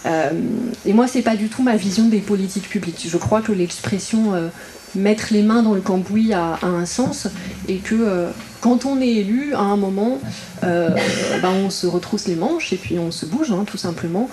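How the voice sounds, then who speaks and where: A woman speaking as an invited regional councillor at a public political meeting, in halting phrases with many filled pauses. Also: medium pitch, warm tone. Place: indoor public meeting room. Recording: roomy echo, audible background noise.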